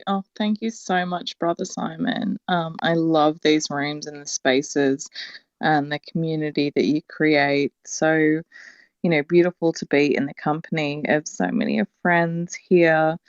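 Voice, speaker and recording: A woman with an Australian accent speaks in a low, gravelly voice, close and dry. She talks in a reflective, unhurried way with frequent ums and you-knows. The sound is slightly muffled, like an online call. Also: steady tone, no room sound. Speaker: guest contributor. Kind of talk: casual online discussion.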